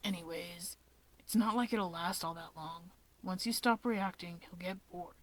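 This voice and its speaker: A woman voicing an awkward, guarded young man in a fan story, speaking quietly and hesitantly. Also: fairly low pitch, unhurried, poor audio. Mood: quiet, tentative.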